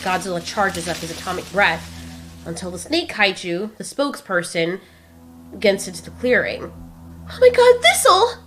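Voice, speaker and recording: A woman narrating a homemade audio drama in a casual, expressive way. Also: lowish voice, moderate pace, soft background music. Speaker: narrator and creator.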